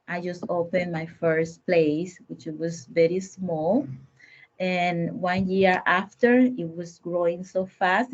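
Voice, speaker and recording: A woman with a Dominican Spanish accent, a native Spanish speaker and small business owner, speaking halting, simple English at a roundtable. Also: on the low side, a little mellow. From Dominican Republic. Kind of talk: roundtable remarks. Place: home, on a video call. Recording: muffled video call audio.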